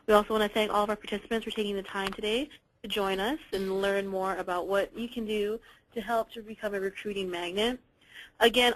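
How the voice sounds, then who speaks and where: A woman hosting a webinar, reading the closing thanks and announcements in a polite, steady way. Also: mid-range voice, low-quality recording. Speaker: webinar host.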